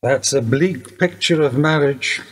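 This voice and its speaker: An actor playing a sympathetic, measured police detective in a radio play. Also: male voice, mid-range voice, close to an everyday voice, poor audio. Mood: probing. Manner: measured questioning. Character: sympathetic male police detective questioning a widow. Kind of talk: radio drama dialogue.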